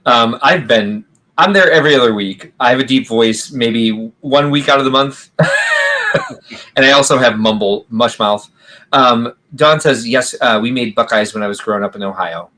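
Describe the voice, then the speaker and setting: A man's voice: mid-range voice, normal speed, bright, forceful tone. A man co-hosting a casual livestream chat, rambling and reading viewer comments aloud with frequent laughs. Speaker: podcast co-host.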